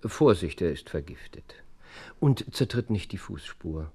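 An actor playing a brisk, commanding detective in an audio drama, curt and imperious. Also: male voice, sits low, expressive, warm tone, breathy edge, full character voice. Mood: keen, confident. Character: brisk, commanding, sharp-minded male detective. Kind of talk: German audio drama acting.

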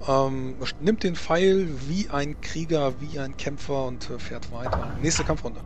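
A man improvising narration and rulings as game master of a tabletop roleplaying game, halting as he feels for words. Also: a little high. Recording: clearly noisy home voice recording.

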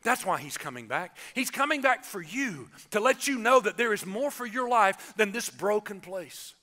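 A man, preaching an animated Easter sermon from a church stage and calling on the congregation to answer. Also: high-pitched, moderate pace. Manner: animated, gestures broadly, calls on the congregation. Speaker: pastor. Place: church stage, large indoor auditorium.

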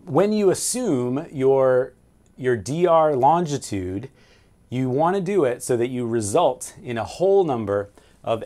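A man's voice: medium pitch, a little mellow. A man in his forties teaching navigation at a whiteboard, explaining step by step and asking and answering his own questions. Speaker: navigation instructor. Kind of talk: whiteboard lesson. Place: small room with framed pictures and signal flags.

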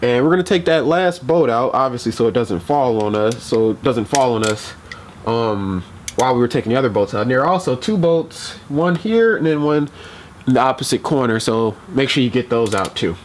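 A young man narrates a car repair in a loose, rambling way, full of ums and restarts. His voice sits at a middling pitch. He is picked up by a camera mic outdoors at night while he works, with a faint hiss of background noise. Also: expressive, fairly quick. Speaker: DIY car repair vlogger. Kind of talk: casual how-to narration while working. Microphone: camera mic, moves around while working.

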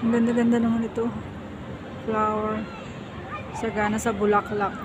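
A woman's voice: fairly high pitch, little pitch movement, moderate pace, crowd noise. A Filipino woman narrating a casual vlog outdoors, switching between Tagalog and English. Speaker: vlogger. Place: outdoors in a hilltop garden. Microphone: handheld phone or camera mic. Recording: noisy outdoor handheld recording.

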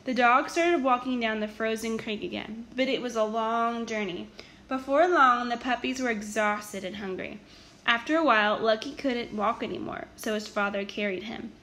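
A woman reading a children's story aloud in an expressive, animated storytelling manner with emphatic stresses. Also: on the high side, normal speed. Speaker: storybook reader.

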